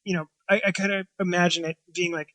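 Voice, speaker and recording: A chef and restaurant owner from Washington State, talking casually in an interview and rambling with lots of fillers and restarts. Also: male voice, high voice, flat delivery, fast talker, bright, forceful tone, no room sound. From Washington State, USA.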